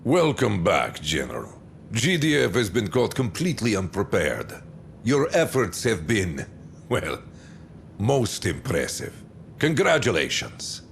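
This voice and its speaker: An actor playing a smooth, calculating military commander in a video game, menacing and self-assured, speaking deliberately with weighty pauses. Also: male voice, fairly low pitch, a little hiss or hum, exaggerated voice. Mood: smugly pleased. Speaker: military commander briefing a general. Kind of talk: scripted video game cutscene briefing.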